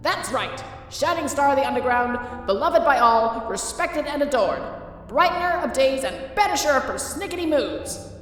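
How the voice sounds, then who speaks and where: A woman's voice: high-pitched, expressive, normal speed. A woman voicing an earnest, boastful, caring young male skeleton in a dramatic comic dub, grandiose and insistent in manner. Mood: proud, grandiose. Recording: echoey hall, distant, faint music.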